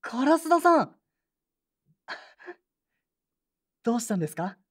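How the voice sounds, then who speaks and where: A woman's voice: fairly high pitch, very animated, fast. A woman playing an earnest, polite teenage boy in a Japanese audio drama. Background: background music.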